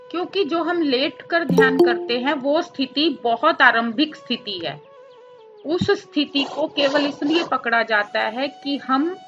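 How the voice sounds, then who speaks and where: Slow, high-voiced woman guiding meditation. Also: a bit bright, dull audio. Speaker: meditation teacher. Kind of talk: guided meditation instruction.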